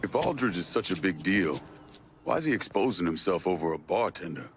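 Deep, blunt American tough guy. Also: animated, a little fast, music in the background. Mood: wary, blunt. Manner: blunt, skeptical, profane. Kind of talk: video game cutscene acting.

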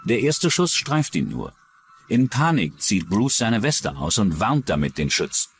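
A man narrating a scripted true-crime documentary in a measured, dramatic storytelling manner. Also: lowish voice, slight background noise.

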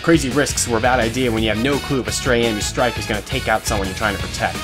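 A man's voice: mid-range voice, fairly even intonation, racing. A man narrating a scripted fantasy story as an audio drama narrator. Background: guitar music.

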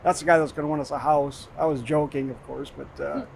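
A man's voice: on the high side, fairly even intonation, a little fast, gravelly, slight background noise. A man telling a personal story casually in an interview. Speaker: contest winner. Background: light music.

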